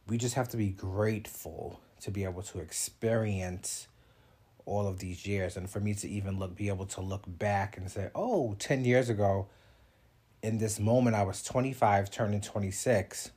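Husky-voiced man hosting a podcast. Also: on the low side, fairly even intonation, normal speed, darkish tone. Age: 30s. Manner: chatty, reflective, laughs between thoughts. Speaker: podcast host. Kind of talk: casual solo podcast monologue.

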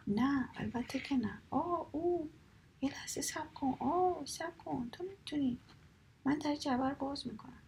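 A woman's voice: sits high, vocal fry, darkish tone, slight background noise, pitched above the performer's own voice. A woman voicing a grumpy male seagull who yelps in pain from thorns. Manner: yelping, crying out in pain.

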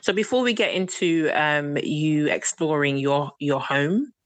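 Low-voiced woman hosting a chat. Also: unhurried, no echo. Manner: laughs often, playful. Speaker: host. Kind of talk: casual podcast chat.

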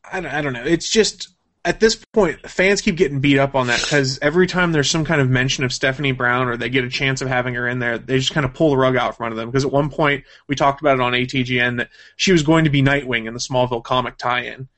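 Male co-host, mid-pitched, chatty and opinionated, for casual gaming and comics news chat. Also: fast, a bit bright. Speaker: show co-host.

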